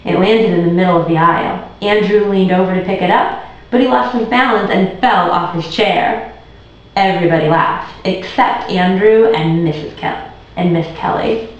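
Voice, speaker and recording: A woman's voice: lowish voice, moderate pace. A woman tutor reading a children's storybook aloud as narrator. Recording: very echoey room, distant muffled mic.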